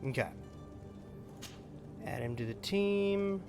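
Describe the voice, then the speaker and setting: A man's voice: high voice, animated, slow pace, music in the background. A man giving casual let's-play commentary on a video game, thinking aloud informally as he goes.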